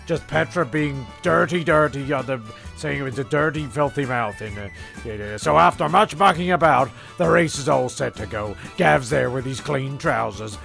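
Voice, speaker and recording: Very creaky, rambling male narrator. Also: mid-range voice, moderate pace, fairly bright tone, soft background music. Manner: hesitant, rambling, repeats himself. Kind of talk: rambling comedy radio play narration.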